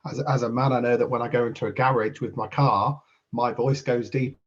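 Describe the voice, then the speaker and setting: A man's voice: medium pitch, steady tone, brisk, breathy edge, muffled recording. A man with a British accent sharing reflections in an online group discussion, hesitant and repeating words as he thinks. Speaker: community engagement worker. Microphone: video call.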